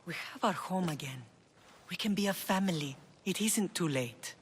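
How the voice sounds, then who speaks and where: An actress voicing a middle-aged mother in a game cutscene, gentle but insistent. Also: fairly low pitch, lively intonation, moderate pace, a little hiss or hum, natural voice. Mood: pleading. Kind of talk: video game cutscene acting. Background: game score music.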